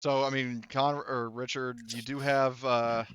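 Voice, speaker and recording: Bright-voiced man running a tabletop game. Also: mid-range voice, level tone, a little slow, clean tone, dry recording, studio quality. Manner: informal, profane. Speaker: game master. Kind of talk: casual tabletop game narration.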